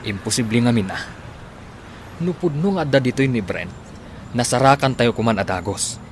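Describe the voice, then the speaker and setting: A man's voice: medium pitch, animated, normal speed, a little hiss or hum, natural voice. Actor as skeptical, grudging radio drama man. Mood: resigned. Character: doubtful but obliging man joining a search.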